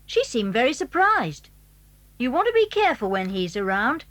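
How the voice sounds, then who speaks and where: A woman's voice: a little high, sing-song, moderate pace, fairly bright tone. A woman playing a clever, secretive British schoolboy in a children's audio drama, eager and well-spoken as he explains things. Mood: wary. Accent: British English. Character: clever, secretive schoolboy showing friends his house.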